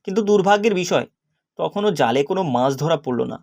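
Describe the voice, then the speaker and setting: A man giving scripted narration for an animated children's story. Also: sits high, quick pace, vocal fry.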